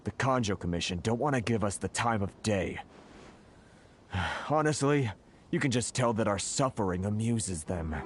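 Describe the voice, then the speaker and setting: Man as flustered low-voiced merchant. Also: expressive, normal speed, slight background noise, natural voice. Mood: dejected, exasperated. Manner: hesitant, sighing, exasperated. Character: flustered, aggrieved merchant of a trade association. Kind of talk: video game voice acting.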